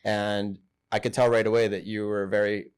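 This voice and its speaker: A man's voice: on the low side, little pitch movement, brisk, smooth. A man from southern Wisconsin hosting a podcast interview in a casual, upbeat way, often chiming in with short agreement. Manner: upbeat, affirming with frequent "yeah" and "mm-hmm". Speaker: podcast host.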